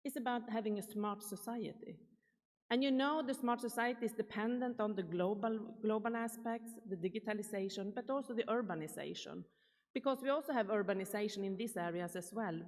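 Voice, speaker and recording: A woman's voice: medium pitch, brisk, darkish tone. Swedish-accented woman giving a keynote. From Sweden. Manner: chatty, engages the audience with questions. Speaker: keynote speaker. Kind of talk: conference keynote talk. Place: large conference hall. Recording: reverberant hall.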